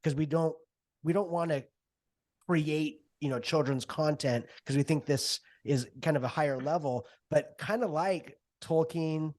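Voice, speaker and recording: This is a man with a voice a bit higher than usual, recorded dry. He talks casually as an interview guest. His way of speaking is gracious and jokey. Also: unhurried, darkish tone, dry recording. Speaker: podcast guest, audio drama co-creator.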